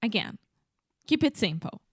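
A woman's voice: fairly high pitch, sing-song, normal speed, husky, no echo, studio quality. A Brazilian woman in her thirties, a native Portuguese speaker, co-hosting an English lesson with brief, agreeable replies. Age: 30s. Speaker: podcaster, co-host. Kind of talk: bilingual language lesson.

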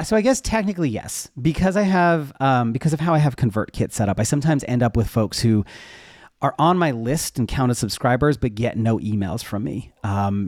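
A man's voice: medium pitch, a little mellow, no echo, studio quality. A man talking casually as a podcast guest, candid and conversational as he explains things from his own experience. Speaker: podcast guest, web development educator. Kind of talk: casual interview talk.

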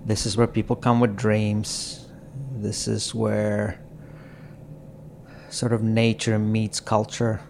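A middle-aged man from Croatia, a podcast guest speaking slowly and reflectively in an apartment, with halting phrasing, frequent pauses and soft laughs. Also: on the low side, completely flat delivery, creaky, slight background noise. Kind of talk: reflective conversation. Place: apartment in a city.

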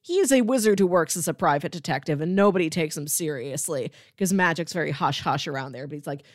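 A woman co-hosting a podcast, chatting casually and giving recommendations with playful, animated asides. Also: low-pitched, fast talker, dry recording, studio quality. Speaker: podcast co-host.

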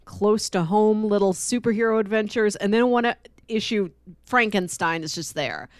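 A woman's voice: mid-range voice, moderate pace, no room sound, clean studio sound. A woman co-hosting a podcast, chatting casually about comic books. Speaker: podcast co-host. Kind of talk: casual comic book discussion.

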